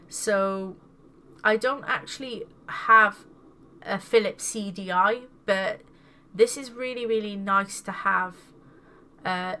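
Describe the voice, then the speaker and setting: A woman's voice: mid-range voice, sharp tone, a little hiss or hum. A woman casually showing off her new video game pickups, sounding congested from a cold and repeating words with enthusiasm. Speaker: gaming video host.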